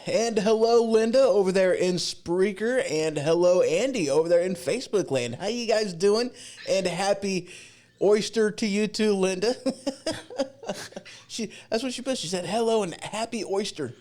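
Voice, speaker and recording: A man's voice: high-pitched, normal speed, a little mellow. A man hosting a podcast livestream in a casual, rambling, informal way. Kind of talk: casual chat. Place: home podcast studio.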